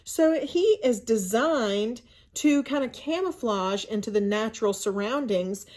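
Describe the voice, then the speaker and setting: A woman with a fairly high voice reads a picture book to children. She is expressive and teacherly, stepping out of the story to explain things and put simple questions to her listeners. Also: a little slow. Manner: expressive, teacherly, often asks rhetorical questions. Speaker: school librarian reading aloud to children. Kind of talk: children's story read-aloud with asides and questions.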